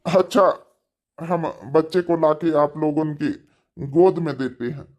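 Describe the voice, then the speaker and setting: A man's voice: on the high side, takes it slowly, a little mellow. A man voicing a polite hospital doctor in a cartoon drama, speaking formally and courteously. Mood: courteous, amazed. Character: polite hospital doctor announcing a miraculous birth.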